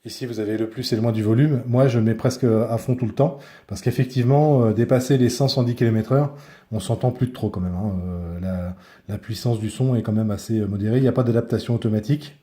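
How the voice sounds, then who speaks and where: A man presents gear in an informal, hands-on tutorial. His voice sits fairly low and has a very mellow, dark tone. He talks colloquially, often hesitating as he looks for the right word. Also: fast talker. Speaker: product reviewer and motorcyclist. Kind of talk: casual hands-on tutorial and review.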